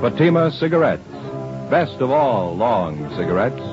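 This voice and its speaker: Over loud music, a man announces a sponsor in punchy vintage radio style. His mid-range voice swings widely in pitch, and the recording is muffled. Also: big pitch swings, a little slow.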